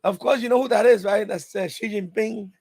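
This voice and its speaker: High-pitched man chatting on a livestream. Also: fairly quick, gravelly, darkish tone, breathy edge, no room sound. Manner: rambling, repeats himself. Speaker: livestream host. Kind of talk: casual live chat with viewers.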